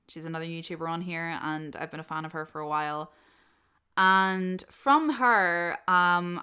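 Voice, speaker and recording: A woman with a bright, crisp voice that sits a little low. She talks casually and expressively, like a creator chatting with followers, with a self-deprecating streak. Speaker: audio roleplay creator and host. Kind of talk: casual subscriber Q&A, chatty talk.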